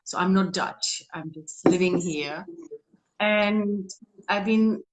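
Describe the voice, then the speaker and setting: A woman's voice: mid-range voice, slow, poor audio. Woman on a call. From Czech Republic. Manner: earnest, rambling, with frequent restarts. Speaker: guest. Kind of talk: casual interview talk.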